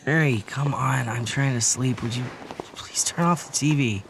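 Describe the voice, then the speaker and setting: A man's voice: mid-range voice, moderate pace, creaky, a little hiss or hum, natural voice. A man playing an anxious, guilt-ridden suburban husband in a horror audio drama. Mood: weary, subdued. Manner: confessional narration breaking into frantic outbursts. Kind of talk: horror audio drama acting with first-person narration.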